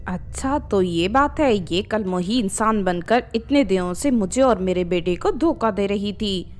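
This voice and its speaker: A woman's voice: medium pitch, animated, unhurried, natural voice. A woman voicing a greedy, scheming older mother-in-law in a harsh, accusing, commanding way for a cartoon story. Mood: scheming, menacing.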